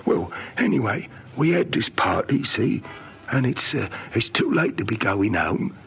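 An actor playing a garrulous old hobo informant in an old radio drama, eager and halting, repeating his words in colloquial speech. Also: mid-range voice, big pitch swings, creaky. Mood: confiding, rambling. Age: older. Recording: noisy vintage radio drama recording.

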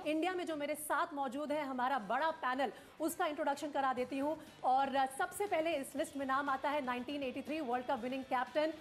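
A woman news anchor presenting a special cricket broadcast from a studio with polished, dramatic phrasing. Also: high-pitched. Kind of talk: scripted sports news presenting.

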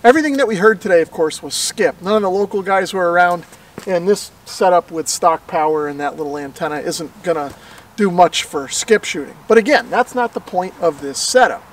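A man's voice: sits high, animated, fairly quick, a little hiss or hum. A middle-aged American man hosting a hobby how-to, talking in an easygoing, self-deprecating, casual way. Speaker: host of a radio hobby channel.

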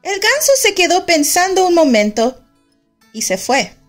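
A woman reading a children's picture book aloud in a slow, expressive storytelling manner. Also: extremely high pitch, soft background music. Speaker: storybook reader.

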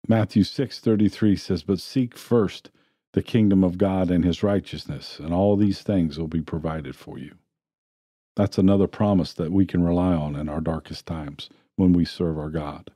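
Deep, dark-voiced man preaching. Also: moderate pace, no echo, studio quality. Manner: plain, steady preaching with storytelling. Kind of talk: sermon. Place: church sanctuary.

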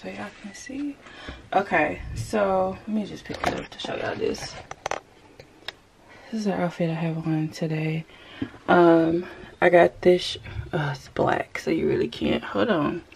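A woman's voice: lowish voice, measured pace, gravelly, darkish tone. A woman in her thirties chatting casually to viewers as she shows clothes in her closet, in a rush and calling them y'all. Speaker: vlogger. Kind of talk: casual clothing haul chat. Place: walk-in closet at home. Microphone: camera set up across the closet. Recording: hissy home video.

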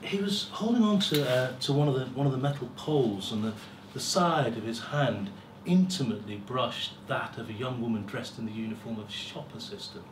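A middle-aged man giving a dramatic, deliberate first-person story reading, with pauses and swelling emphasis. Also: mid-range voice, expressive. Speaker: story reader at a live festival. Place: small lecture room with seated audience. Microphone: far camera. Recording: roomy, distant camera mic, faint hiss.

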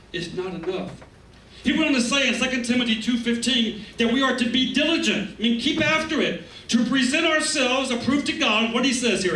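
A man's voice: extremely high pitch, pushed and bright. A middle-aged male pastor preaching a sermon in a conversational, emphatic way, with asides to the congregation, on a church stage with a handheld mic. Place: church stage, medium hall, indoors. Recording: echoey room, camera set far back.

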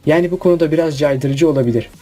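A man's voice: on the high side, fast, mellow. A man narrating a scripted countdown review. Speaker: video narrator. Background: background music.

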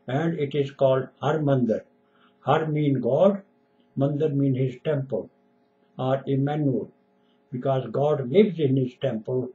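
Older man, native Punjabi, slow emphatic home sermon, mellow tone, dull webcam sound. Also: mid-range voice. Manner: emphatic, repetitive, gestures with hands. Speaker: preacher. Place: room at home, window behind.

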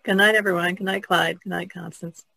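A woman's voice: fairly low pitch, steady tone, a little fast, vocal fry, pushed and bright. A middle-aged or older woman co-hosting a podcast in casual conversation. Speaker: podcast co-host.

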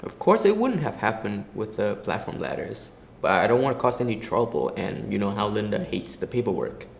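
A man's voice: on the low side, very animated, fairly quick, creaky. A young man playing a reluctant injured worker in an amateur scripted drama. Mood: reluctant, pained. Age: teenager or young adult. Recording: roomy echo, faint background noise.

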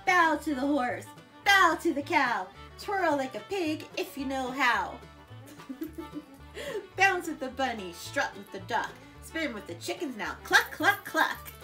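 A middle-aged woman teaching preschoolers, talking gently and reading a picture book in a lively sing-song voice with animal sounds, seated on a living room couch. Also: high voice, slow pace, soft background music. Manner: gentle, warm teacher talk to young children. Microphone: camera in front.

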